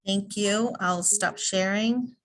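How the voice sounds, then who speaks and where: A woman's voice: mid-range voice, slow, a bit bright. A woman presenting in a webinar, explaining options to families with occasional fillers. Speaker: early childhood transition presenter. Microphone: video call.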